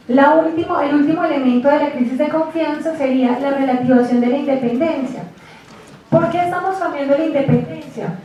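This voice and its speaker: A woman giving a university lecture, chatty and lively, often asking the class rhetorical questions. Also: high-pitched. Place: lecture room. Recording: echoey hall, distant mic.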